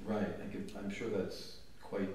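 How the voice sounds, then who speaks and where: A middle-aged man hosting a casual interview in a conference room, relaxed and chatty with fillers. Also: fairly low pitch, measured pace, slight background noise. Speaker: interviewer, content manager. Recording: echoey room, mic far off.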